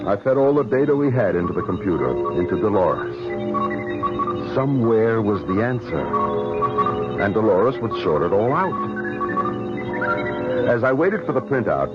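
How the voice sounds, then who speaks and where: A man's voice: on the low side, very animated, moderate pace, pauses often, over loud music. An actor playing an anxious, conscientious male weapons scientist in a 1970s radio drama, earnest, dramatic and hesitant. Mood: uneasy, narrating. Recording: dull vintage radio drama recording.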